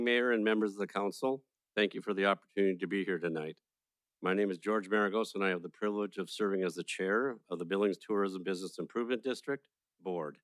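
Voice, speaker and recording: A man's voice: lowish voice, fairly bright tone, dry recording, low-quality recording. Formal man reading council remarks. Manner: formal and courteous, read from notes. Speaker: board chair. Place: council chamber.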